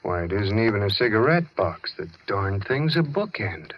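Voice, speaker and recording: An actor playing a mild, hesitant, puzzled husband in 1940s radio drama style. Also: male voice, fairly low pitch, very animated, moderate pace. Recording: noisy, muffled 1940s radio recording.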